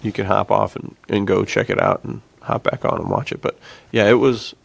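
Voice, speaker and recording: A man's voice: fairly low pitch, fairly even intonation, fairly quick, gravelly, dry recording. A man co-hosting a podcast, talking casually in a laid-back, jokey way with informal slang. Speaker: podcast co-host. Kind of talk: casual film discussion.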